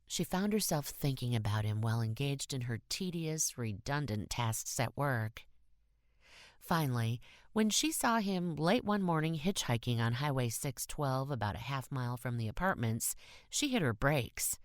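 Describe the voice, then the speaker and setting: A woman reads a short story as an audiobook narrator. Her voice sits low but moves with lively, varied pitch. She phrases deliberately with expressive emphasis, recorded close and very dry. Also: no echo.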